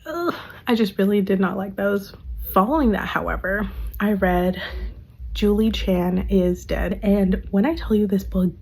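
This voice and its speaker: Young woman, hoarse, chatty, lots of fillers, casual vlog talk. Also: medium pitch, measured pace, a little mellow. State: losing her voice, hoarse. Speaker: vlogger. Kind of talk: casual monthly favorites chat.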